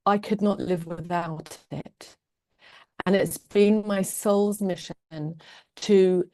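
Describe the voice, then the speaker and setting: A woman with a British accent, Norwegian her first language, teaching a live online love-coaching class in an earnest, gentle way. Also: fairly low pitch, dry recording, studio quality. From England.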